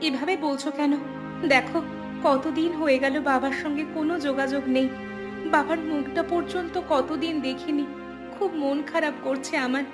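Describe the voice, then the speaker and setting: A woman acts out a young runaway bride from a village cartoon. She sounds wistful and homesick, in a highish voice. Light music runs behind her. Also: fairly even intonation, normal speed, close to an everyday voice. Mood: wistful, worried. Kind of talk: cartoon voice acting.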